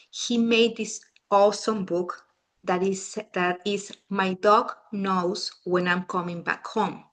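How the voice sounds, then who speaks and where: A woman's voice: medium pitch, fairly bright tone. Chatty woman with a Peruvian accent. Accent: Peruvian Spanish. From Peru. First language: Spanish. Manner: chatty, restarts sentences often. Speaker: family constellations facilitator. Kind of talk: casual talk to a small group.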